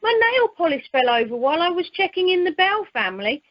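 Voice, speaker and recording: A woman's voice: very high-pitched, very animated, fast, no room sound. A woman playing an officious, slightly scatty airport check-in clerk in amateur radio comedy. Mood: chatty. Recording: muffled, dry telephone conference line.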